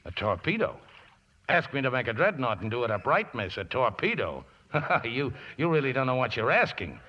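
An actor playing a rough, easygoing riverboat mechanic in a 1950s radio drama, deferential and folksy, with ungrammatical speech. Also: male voice, mid-range voice, big pitch swings, normal speed. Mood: matter-of-fact, bemused. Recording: dull, noisy vintage radio broadcast.

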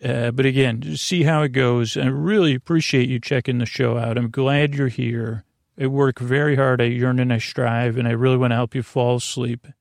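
A man with a creaky voice hosting a sleep podcast, speaking in a meandering, halting bedtime monologue full of soothing tangents and mild whimsy. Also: mid-range voice, fairly even intonation, dry recording, clean studio sound. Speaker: podcast host.

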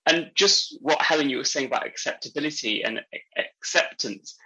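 A man's voice: on the high side, brisk, pushed and bright, low-quality recording. A young man from Sheffield, a pharmacist guest on a podcast, telling stories with dry humour. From Sheffield, England. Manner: dry humour, thoughtful storytelling. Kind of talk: podcast conversation.

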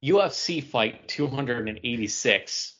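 A man's voice: mid-range voice, expressive, a little slow, a bit bright, dull audio. A man co-hosting a podcast, talking casually. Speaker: podcast co-host. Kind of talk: casual chat, reading out figures.

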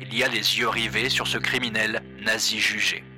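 A man's voice: fairly low pitch, steady tone, moderate pace, over music, lightly acted. A man playing a radio reporter who reads in a formal, announcer-like way. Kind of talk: scripted news-style narration.

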